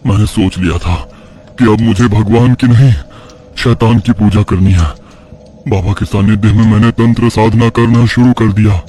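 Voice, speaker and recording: Man acting troubled devout priest, low dark voice, earnest dramatic confession, fatherly figure, horror audio drama. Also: slight background noise, lightly acted.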